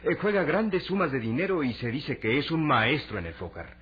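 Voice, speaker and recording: An actor playing a courteous, cautious embassy contact in a vintage radio drama, speaking politely and with wary emphasis. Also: male voice, a little high, sing-song, normal speed, husky, heavily acted. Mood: helpful, informative. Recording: dull, noisy old radio drama recording.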